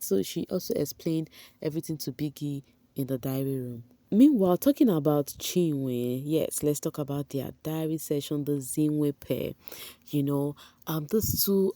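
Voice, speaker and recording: A woman's voice: sits low, mellow, no echo. A young woman vlogger giving a breezy, gossipy recap to her viewers, sprinkling in slangy asides and plenty of "you know"s. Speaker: entertainment vlogger. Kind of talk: chatty gossip recap to camera.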